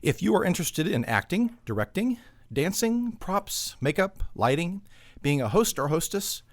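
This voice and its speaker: A man's voice: high voice, sing-song, moderate pace, no echo. A man reading scripted promotional narration as an announcer for a community theater.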